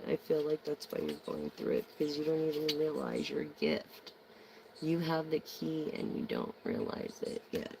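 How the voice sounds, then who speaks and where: A hoarse, gravelly voice drifts slowly through a casual tarot reading. The speaker wanders between thoughts with plenty of ums and easy slang. Faint background noise sits behind the voice. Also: mid-range voice. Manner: slangy, meandering, many ums. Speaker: tarot reader.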